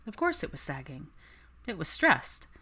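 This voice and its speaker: Lower-pitched woman narrating a story. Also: huge pitch swings, normal speed, pushed and bright, breathy edge. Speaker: audiobook narrator. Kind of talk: scripted fan fiction narration.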